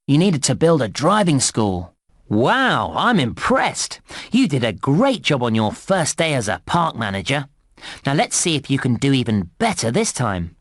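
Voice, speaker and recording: A man's voice: medium pitch, no room sound, clean studio sound. A man voicing a cheerful, encouraging theme park guide, narrating a children's game in a scripted, presenter-like delivery. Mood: upbeat, encouraging.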